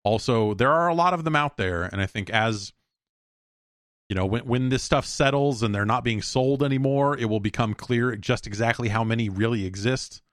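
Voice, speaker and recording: A man's voice: mid-range voice, moderate pace, fairly bright tone, dry recording, clean studio sound. A man hosting a podcast solo, chatting casually in a rambling, opinionated, profane way. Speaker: podcast host answering listener mail.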